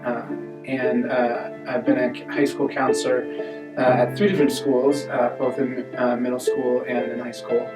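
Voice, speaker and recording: A man who works as a high school counselor, speaking in a documentary interview and pausing often with fillers. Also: level tone, over loud music. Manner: thoughtful, halting with many uh fillers. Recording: roomy, distant mic.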